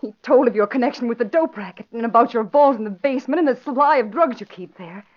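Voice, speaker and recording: An actress as a high-voiced, halting lady reporter. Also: natural voice. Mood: pleading, nervous. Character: coy, pleading female newspaper reporter playing frightened. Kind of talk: 1940s radio drama acting. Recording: muffled old radio recording.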